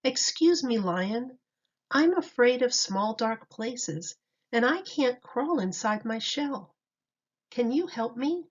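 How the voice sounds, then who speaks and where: A woman's voice: on the high side, animated, takes it slowly, slightly rough, voice pushed up, heavily acted. A woman voicing a timid, polite young boy turtle in a children's storybook, speaking earnestly. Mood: polite, pleading. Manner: polite, pleading. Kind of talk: children's storybook read-aloud. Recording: dull lo-fi recording.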